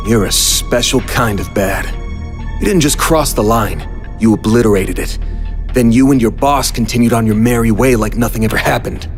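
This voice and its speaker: Dude playing a tough young merc from a game, mid pitch, blunt n sweary, bit of music under it. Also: male voice, close to an everyday voice. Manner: blunt, sweary, hard-boiled. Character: tough, blunt young male mercenary hero. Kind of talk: English-dub video game drama acting. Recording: soft game music behind.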